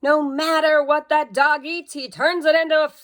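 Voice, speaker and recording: Woman acting loud, exasperated storybook father. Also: high-pitched, flat delivery, pitched above the performer's own voice, natural voice. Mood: stern, fed up. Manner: loud, emphatic, drawn-out delivery. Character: stern, exasperated father laying down the law.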